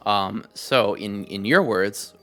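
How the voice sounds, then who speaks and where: A podcast host asking questions in a relaxed, encouraging way, filling pauses with "um". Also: low-pitched, faint music behind. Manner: relaxed, affirming, lots of fillers. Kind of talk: casual interview.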